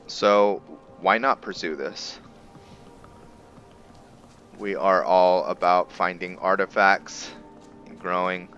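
A man giving roleplay game commentary, musing and pausing often as he talks. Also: sits low, steady tone, sharp tone, muffled recording, faint music behind. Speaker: gameplay video host. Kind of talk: roleplay game commentary with some reading aloud.

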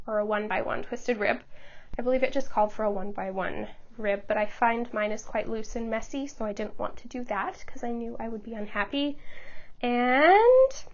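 A young woman with a high, bright-sounding pitch hosts her knitting show from a small home studio, her voice stuffy from a lingering cold. She chats fast to the camera, laughing and tripping over her words. The recording sounds a bit dull and muffled. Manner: chatty, quick, stumbles over words and laughs often. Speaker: knitting podcast host. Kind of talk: casual chat to camera. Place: small home studio room under studio lights.

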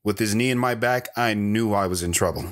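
A man's voice: fairly low pitch, brisk, lightly acted. A man playing a wanderer recounting his hard life in first-person audio drama narration. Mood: reflective.

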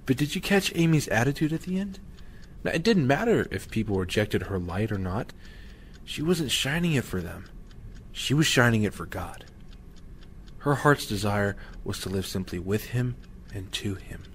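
A man's voice: fairly low pitch, lively intonation, moderate pace, a little hiss or hum, natural voice. A middle-aged man playing an earnest, encouraging father guiding his son in an audio drama. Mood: earnest, instructive.

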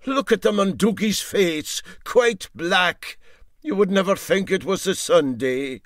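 A man voicing an indignant old Highland boat skipper telling tall tales emphatically and drolly in a Scottish accent. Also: measured pace, fairly bright tone, dry recording, studio quality. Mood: acid.

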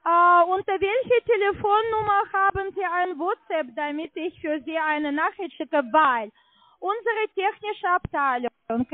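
High-pitched woman with foreign accent on phone. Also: no echo. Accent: foreign accent in German. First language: not German. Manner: halting, hesitant speech with frequent searching for words. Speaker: telephone sales agent. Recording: tinny narrowband phone line.

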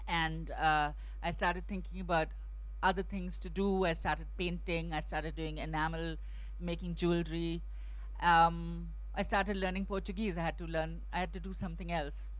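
A woman's voice: fairly low pitch, flat delivery, normal speed, rough recording. A middle-aged woman on an outdoor festival stage, speaking into a handheld mic, talking thoughtfully with many restarts and fillers. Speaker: translator on a festival panel. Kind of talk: panel discussion answers.

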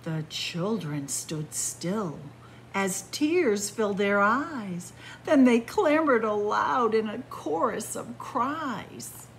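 A middle-aged woman reading a picture book aloud to children in an animated, deliberate storytelling manner. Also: mid-range voice, slight background noise, rough recording. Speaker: library story-time reader. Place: indoors in a library among bookshelves.

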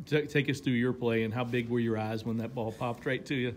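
Male sports reporter, medium pitch, press conference questioning, faint noise. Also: fairly even intonation, fairly quick.

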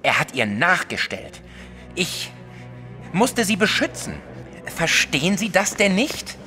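A man's voice: high-pitched, sing-song, slow, bright, forceful tone, faint music behind, full character voice. An actor playing a small, self-righteous, jealous male artist in an audio drama, vehement and defensive in manner. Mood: defensive, indignant. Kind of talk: German audio drama acting.

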